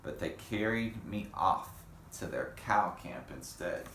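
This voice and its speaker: A man's voice: low-pitched, expressive, takes it slowly, a bit bright, heavily acted. A man voicing a polite, earnest boy who has turned cowboy in a children's storybook. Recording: roomy echo with faint background noise.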